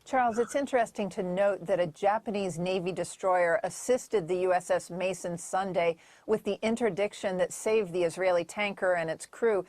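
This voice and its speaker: A woman TV news correspondent delivering a measured report from a news clip. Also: on the low side, darkish tone, no room sound.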